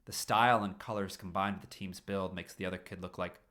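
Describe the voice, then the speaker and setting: A man's voice: low voice, fairly even intonation, brisk, sharp tone. Man reading a story expressively. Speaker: fan fiction reader on a livestream.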